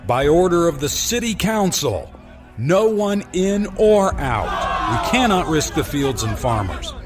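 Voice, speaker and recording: Stern male town guard, played by an actor, commanding, swooping high pitch, audio drama, distant with crowd behind. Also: very animated, a little slow, soft background music. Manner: declamatory, authoritative. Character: stern male town gate watchman, shouting orders.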